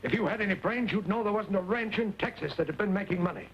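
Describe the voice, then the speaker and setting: A man's voice: high voice, fast. An actor playing a stern, blustery middle-aged colonel in an old Western, barking his words indignantly. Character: blustery, stern Yankee Army colonel and ranch owner. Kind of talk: old Western film acting. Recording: muffled, hissy old film soundtrack.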